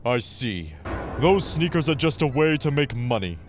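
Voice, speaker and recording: A man playing a calm, menacing teenage boy gamemaster, deliberate and ominous, in an anime-style audio drama. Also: medium pitch, over music, close to an everyday voice.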